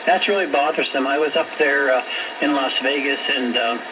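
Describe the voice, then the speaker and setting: A man's voice: medium pitch, moderate pace. A middle-aged or older man chatting casually over two-way radio as an amateur operator, friendly, hesitant and a bit rambling. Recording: very noisy, muffled shortwave radio signal. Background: heavy radio static.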